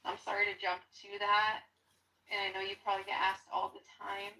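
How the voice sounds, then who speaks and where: A woman speaking as a paranormal investigator, asking questions out loud during an investigation. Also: medium pitch, monotone, fairly quick. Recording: distant mic, faint room noise.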